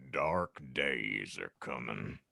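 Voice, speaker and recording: Grave, gruff harbinger, played by a man, deep and hushed, comic audiobook. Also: expressive, drawn-out words, dry recording, studio quality, exaggerated voice. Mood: grave, ominous. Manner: grave, ominous. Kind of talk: comic audiobook reading of fan fiction.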